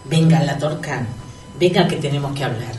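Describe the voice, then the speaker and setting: An actress playing a confident beauty therapist, explaining treatments and teasing in a comedy sketch. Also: female voice, sits low, moderate pace, darkish tone, roomy sound, far from the mic, slight background noise, lightly acted. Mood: persuasive.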